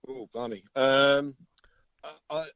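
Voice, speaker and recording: A man's voice: mid-range voice, fairly even intonation, takes it slowly, clean tone, dry recording, dull audio. A middle-aged British man, a musician chatting casually in an interview, rambling through stories in a chatty way. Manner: chatty, rambling storyteller, often chuckling.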